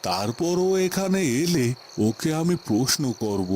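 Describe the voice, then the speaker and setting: A man's voice: mid-range voice, sing-song, measured pace, a little mellow, slight background noise. A man acting a worried, loyal captive in a cartoon, brooding aloud in an agitated, self-questioning monologue. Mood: troubled, resolving. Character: worried loyal male captive of a scheming villain. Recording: music behind the voice.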